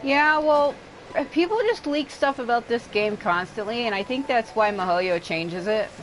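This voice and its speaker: A woman's voice: fairly high pitch, moderate pace, background noise. A woman chatting casually as a livestreamer, rambling and venting with casual swearing. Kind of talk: casual gaming livestream chat.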